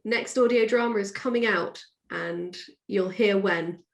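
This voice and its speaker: A woman's voice: medium pitch, measured pace. A woman speaking casually as an author guest on a podcast. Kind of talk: casual chat. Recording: echoey room.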